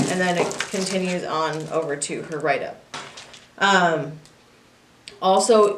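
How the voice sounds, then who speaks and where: A woman giving an informal, practical instructional walkthrough in a plain way. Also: fairly low pitch. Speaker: 4-H youth assistant giving a staff training. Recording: echoey room, distant mic, faint noise.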